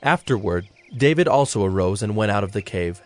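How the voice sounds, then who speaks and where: A man reading scripted Bible story narration in a measured, solemn manner. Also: lowish voice, lively intonation, no room sound, soft background music.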